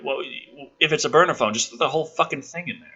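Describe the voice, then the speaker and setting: A man's voice: a little high, fast talker, pushed and bright, breathy edge, dull audio. A man giving a ranting, sarcastic commentary as he reads a screenplay aloud, profane and exasperated. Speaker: host of a movie script review.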